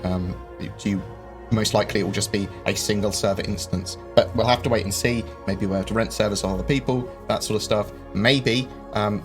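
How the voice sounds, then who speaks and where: A fairly low-voiced man answers viewer questions on a live stream. He rambles, fills gaps with um, and throws in quick jokes. Faint game music plays underneath.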